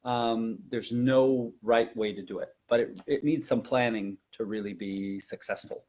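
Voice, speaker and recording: A man's voice: lowish voice, measured pace, a little mellow. A man giving informal, emphatic instructional advice as a language teacher presenting a webinar.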